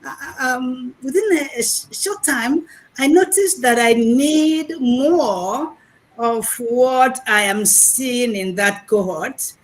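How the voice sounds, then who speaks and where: A woman in her sixties with a Nigerian accent, giving a chatty, halting speech over a video call at an online graduation. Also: high voice, rough recording. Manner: chatty and animated, restarts and repeats words, hesitant fillers. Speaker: graduating program participant, retired math lecturer.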